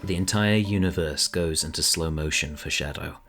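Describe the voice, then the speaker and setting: Low-voiced man narrating an audio drama. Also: moderate pace, a bit bright, a little hiss or hum.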